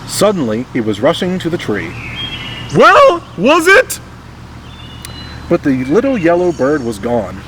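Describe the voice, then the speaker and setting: A man reading a children's picture book aloud in expressive scripted narration. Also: medium pitch, a little fast, hardly any pauses, noisy background. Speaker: storybook reader.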